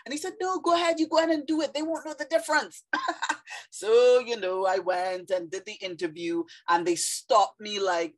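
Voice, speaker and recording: Trinidadian woman, chatty reflective vlog. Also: mid-range voice. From Trinidad and Tobago. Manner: reflective, conversational with many fillers. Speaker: vlogger, former ESL teacher. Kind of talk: casual monologue to camera.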